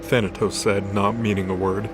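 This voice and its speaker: Low, even-toned male storyteller. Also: moderate pace, music in the background. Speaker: narrator. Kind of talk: scripted story narration.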